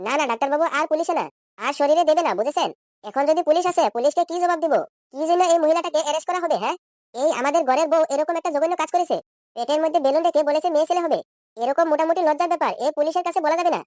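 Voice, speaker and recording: Casting: man to play excitable, fretting young cartoon man, very high altered voice, gabbling pace, dry sound. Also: racing, no echo. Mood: appalled, flustered. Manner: pleading, exclaiming, questioning. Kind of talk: comedy cartoon dub.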